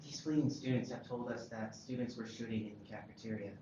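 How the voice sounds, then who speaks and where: A man plays a male television reporter delivering breaking news in a lowish voice. The sound is very echoey and dull, with clear noise behind it. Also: little pitch movement, fairly quick, dark tone, lightly acted. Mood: urgent reporting. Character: television news reporter covering a school emergency. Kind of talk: breaking news report read aloud at table read. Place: roomy indoor table read.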